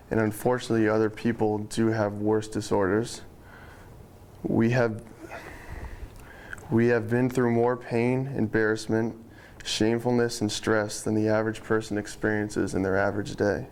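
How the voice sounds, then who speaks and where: A young man giving a prepared speech to friends and family, speaking slowly and deliberately in a controlled way. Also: fairly low pitch, little pitch movement, darkish tone. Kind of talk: prepared speech read to an audience. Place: room with a small audience of friends and family.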